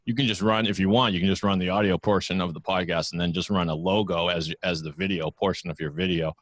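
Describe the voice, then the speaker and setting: A chatty, self-deprecating man speaks into a studio microphone from his podcast studio. His voice sits very low, and the sound is close and dry with no room around it. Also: fairly even intonation, fast talker, no room sound. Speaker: podcast network founder, guest. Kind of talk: casual interview over video call. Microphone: studio microphone with headphones.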